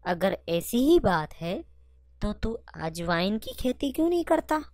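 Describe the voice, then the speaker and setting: A woman's voice: medium pitch, big pitch swings, measured pace, darkish tone, no room sound, clean studio sound. A woman voicing a helpful village friend in a cartoon fable, speaking in a concerned, advising way.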